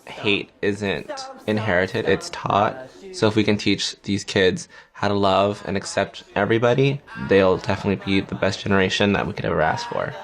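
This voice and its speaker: A man's voice: lowish voice, steady tone, moderate pace. A man speaking earnestly in a documentary interview. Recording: busy crowd chatter behind.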